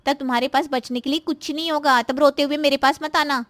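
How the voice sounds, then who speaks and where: A scheming married daughter from a cartoon family drama, acted by a woman, pitched fairly high. She nags, complains and insinuates with open spite. The sound is close and dry, with no room around it. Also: fairly even intonation, a little fast, a bit bright, no echo. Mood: insinuating, warning. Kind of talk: Hindi moral-story cartoon voice acting.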